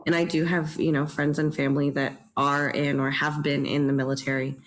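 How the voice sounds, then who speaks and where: A woman with a low-pitched voice speaks in a panel discussion as a theatre director. She is earnest and reflective, leaning on key words for emphasis and often checking that others follow. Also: little pitch movement, brisk.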